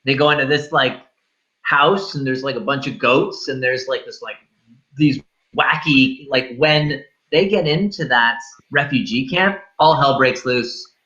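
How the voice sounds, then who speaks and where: Male film chat host, mid-pitched, bright and punchy, emphatic slang, slightly dull lo-fi recording. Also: unhurried. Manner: emphatic, colloquial, swears freely. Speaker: film review show host.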